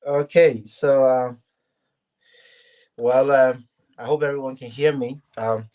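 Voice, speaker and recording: A man's voice: medium pitch, steady tone, a little mellow. A young man from Ghana, talking earnestly as a guest in a casual interview, with frequent restarts. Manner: earnest, halting, many restarts.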